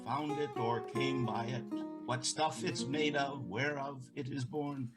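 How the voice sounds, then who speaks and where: A man plays an elderly Venetian merchant, melancholy and dignified, in a Shakespearean audio play. He speaks slowly at a middle pitch, solemn and formal. Loud plucked lute music plays behind him. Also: fairly even intonation, lightly acted. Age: older. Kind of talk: Shakespearean audio drama acting.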